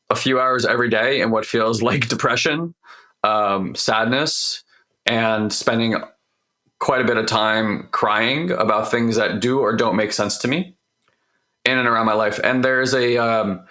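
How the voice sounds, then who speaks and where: Hoarse, bright-toned man talking earnestly. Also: medium pitch, steady tone, slow pace. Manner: rambling, restarts often, earnest. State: voice partly lost, hoarse. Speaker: podcast co-host. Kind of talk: casual spiritual coaching talk.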